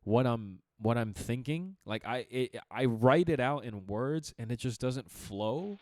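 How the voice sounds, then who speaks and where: A young man co-hosting a casual podcast chat, with a deep radio-type voice, animated and quick to laugh. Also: no echo, clean studio sound. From Chicago area. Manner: animated, laughs often, talks in bursts with restarts. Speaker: podcast co-host.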